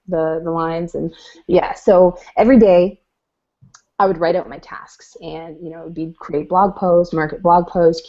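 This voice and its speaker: Low mellow woman on a video call, matter-of-fact, kinda muffled audio. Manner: practical, listing tasks plainly. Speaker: mentor on a live video hangout. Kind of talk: casual advice chat. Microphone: webcam on a video call. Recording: dull webcam audio.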